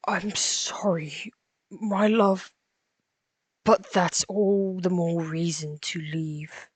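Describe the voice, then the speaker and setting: A woman's voice: on the low side, steady tone, very slow, slightly rough, no echo, heavily acted. A woman playing a tender, resolute male monster in an earnest, solemn amateur audio romance drama. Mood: apologetic, resolute.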